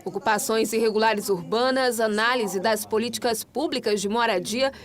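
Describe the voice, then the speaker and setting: Reporter voice-over, woman, higher voice, measured scripted read. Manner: measured, even reading.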